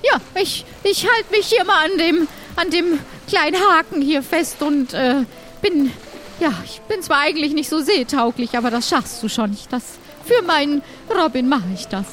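A woman's voice: high voice, normal speed, a bit bright, faint music behind. A woman playing a small, timid but devoted adventurer, speaking earnestly and hesitantly in an improvised audio adventure. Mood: nervous, resolute. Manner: hesitant, earnest, repeating words.

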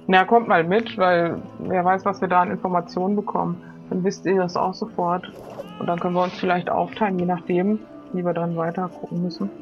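A woman's voice: on the low side, flat delivery, a little fast, highs cut off, soft background music, lightly acted. A woman playing a friendly, generous pirate on a search, casual and upbeat in improvised role-play. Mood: inquiring.

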